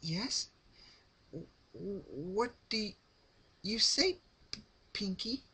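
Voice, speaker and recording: A man voicing a frightened, refined female cartoon pony with a raspy, breathy voice, stammering and gasping. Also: high voice, lively intonation, drawn-out words, sharp tone, pitched above the performer's own voice, exaggerated voice. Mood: desperate pleading. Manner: stammering, gasping between words.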